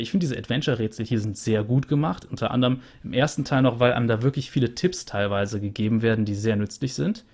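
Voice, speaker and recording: A man's voice: medium pitch, a little mellow. Young man, let's play host, casual rambling commentary, usb studio mic, home setup. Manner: chatty and rambling, with many fillers. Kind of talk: casual game wrap-up commentary. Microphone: USB studio microphone.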